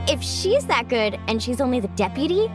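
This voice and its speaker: Bright friendly young heroine. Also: female voice, high-pitched, sing-song, normal speed. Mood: upbeat. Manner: friendly, upbeat. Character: cheerful young woman contestant, friendly and eager. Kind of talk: game cutscene voice acting. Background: game music.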